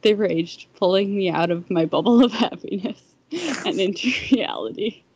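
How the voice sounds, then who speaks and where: A woman's voice: medium pitch, moderate pace, a little mellow, husky, dull audio. A woman reading a first-person story aloud on a podcast, sometimes stumbling over the words. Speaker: fan fiction podcast reader.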